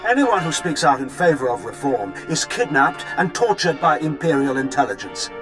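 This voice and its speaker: An actor playing a defiant revolutionary leader, delivering a solemn, measured political manifesto in a sci-fi game. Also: male voice, fairly high pitch, big pitch swings, music in the background. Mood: defiant, resolute. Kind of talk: dramatic political speech, video game acting.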